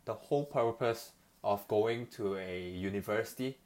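A man's voice: fairly low pitch, moderate pace, darkish tone. A young man from Malaysia talking casually about his choices, rambling with many fillers and restarts. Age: young adult. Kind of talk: casual conversation.

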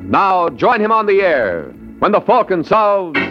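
A man's voice: sits high, wildly swooping pitch, unhurried. A man narrating as a 1950s radio announcer in a dramatic style. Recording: dull old radio recording. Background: loud organ music.

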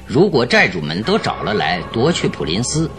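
A man's voice: lowish voice, wildly swooping pitch, normal speed, noisy background. An actor playing a confident male detective in a radio drama, courteous and masterful as he lays out his deductions. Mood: confident, expository. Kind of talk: Chinese radio drama acting. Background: background music.

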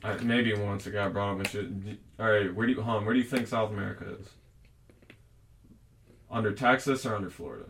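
A man's voice: lowish voice, steady tone, brisk, gravelly, a bit bright, distant mic, slight background noise. A young man in casual conversation, rambling and arguing his points with plenty of profanity. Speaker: podcast host. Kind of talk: casual chat.

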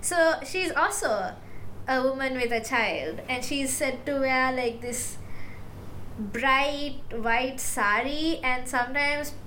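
A woman's voice: high voice, takes it slowly, bright, forceful tone, rough recording. A young woman from Sri Lanka co-hosting a casual chat about folklore, talking loosely with lots of "like". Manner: chatty, heavy use of "like". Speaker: podcast co-host. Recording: faint background noise.

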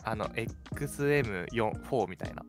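A man's voice: lowish voice, moderate pace. A young adult man co-hosting a radio show, chatting informally and acting out little exclamations as he talks. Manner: animated, informal storytelling with quoted exclamations. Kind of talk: casual chat. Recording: background music behind the talk.

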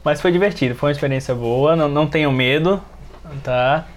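Man, Brazilian Portuguese accent, moderately high pitch, casual conversational podcast panelist. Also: brisk, slight background noise. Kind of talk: casual chat.

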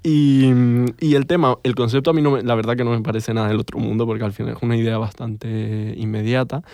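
A man with a Canarian accent talks at an average pitch in a dry recording. He sounds like a podcast host in casual discussion, tentative and careful to qualify his points. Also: fairly even intonation, a little fast, a little mellow, no echo. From Canary Islands, Spain.

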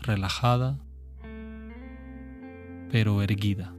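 A man guiding a meditation in a calm, gentle, unhurried manner with pauses. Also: lowish voice, faint music behind. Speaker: meditation guide. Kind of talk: guided meditation.

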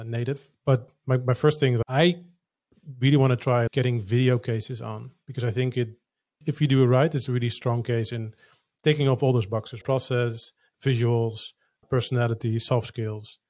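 A man's voice: mid-range voice, fairly even intonation, moderate pace, darkish tone, no echo, clean studio sound. A Dutch man, a native Dutch speaker, hosting a casual interview and asking questions thoughtfully. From Netherlands. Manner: thoughtful, searching for words. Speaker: podcast host.

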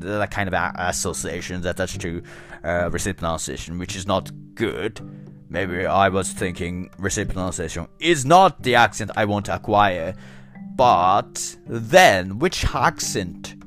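A man whose first language is Japanese, speaking English with a Japanese accent, talking slowly and thoughtfully to camera with many restarts and fillers. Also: fairly low pitch. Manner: slow and thoughtful. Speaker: English learner. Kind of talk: reflective solo talk.

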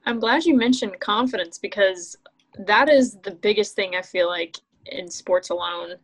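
A woman co-hosting a casual podcast at an ordinary female pitch. She speaks in a chatty, agreeable way. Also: low-quality recording. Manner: chatty, quick to laugh and agree. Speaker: podcast co-host.

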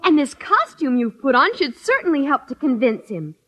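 An actress playing a cheerful, supportive teenage daughter in an old radio sitcom, encouraging in manner. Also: female voice, high-pitched, very animated, normal speed. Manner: bright, encouraging. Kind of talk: 1950s radio sitcom acting. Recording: muffled, noisy old-time radio recording.

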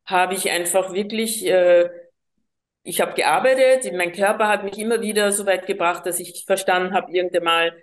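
Woman, slightly low voice, halting speech. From Colombia. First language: Spanish. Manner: hesitant, many fillers and restarts. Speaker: guest. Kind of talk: personal storytelling in an interview.

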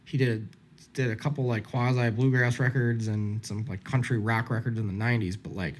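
A man with a faint Southern Midwestern accent chats about music in a relaxed, talkative way. He is opinionated and wanders off topic, and his voice sits at a middling pitch. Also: fairly quick, breathy edge. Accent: slight Southern Midwestern. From Southern Indiana. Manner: digressive, opinionated, lists favorites eagerly. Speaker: podcast host. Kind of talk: casual music chat.

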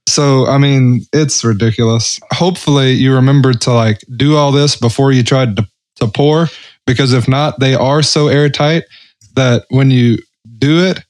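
Man, average pitch, podcast co-host, casual chat, salty and colloquial, dry room. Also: fairly even intonation, moderate pace, a little mellow, no echo.

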